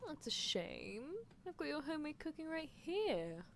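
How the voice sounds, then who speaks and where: A woman's voice: sits high, sing-song, normal speed, a bit bright, a little hiss or hum, full character voice. A woman voicing a playfully menacing, teasing female mad scientist in a roleplay ASMR stream, musing theatrically. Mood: teasing, chatty. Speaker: livestreamer. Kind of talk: roleplay ASMR livestream, answering chat in character.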